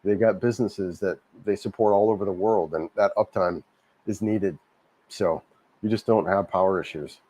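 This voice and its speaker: Slightly hoarse man chatting on a livestream. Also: low-pitched, normal speed, dark tone, poor audio. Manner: rambling, repeats himself, frequent restarts. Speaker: livestream host. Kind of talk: casual livestream chat reading viewer comments. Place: home in Cebu, Philippines.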